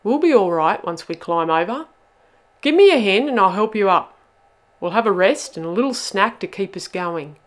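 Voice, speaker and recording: A woman voicing a bossy, impatient male teddy bear in a children's storybook, brisk, snappy and self-assured. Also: on the low side, very animated, dark tone, husky, exaggerated voice. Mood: firm, insistent. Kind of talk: children's storybook read-aloud.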